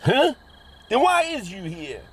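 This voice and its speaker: A man's voice: high-pitched, huge pitch swings, slow, breathy edge, heavily acted. A man playing an ornery, suspicious, dim-witted backwoods hillbilly with a put-on rural drawl in a comedy audio drama. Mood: wary, blustering. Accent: rural Southern hillbilly drawl, put on. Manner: folksy bad grammar, exaggerated bluster.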